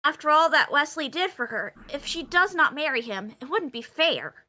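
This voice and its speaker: Stubborn little grandson, played by a woman: high, bright, insistent, very animated. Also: moderate pace, heavily acted. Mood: indignant. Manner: protesting, insistent. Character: indignant, stubborn young grandson interrupting a bedtime story. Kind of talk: live script table read.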